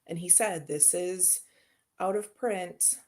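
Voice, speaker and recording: A woman's voice: lowish voice, slow, darkish tone. A woman hosting a tarot channel, chatting casually and rambling as she unboxes decks and answers viewer comments.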